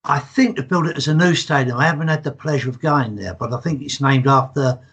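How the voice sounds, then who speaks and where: Retired English man in his sixties, slightly husky, telling his stories casually and plainly. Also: medium pitch, fairly quick, highs cut off. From England. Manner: chatty storyteller, relaxed and matter-of-fact. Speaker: podcast guest. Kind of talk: casual interview chat.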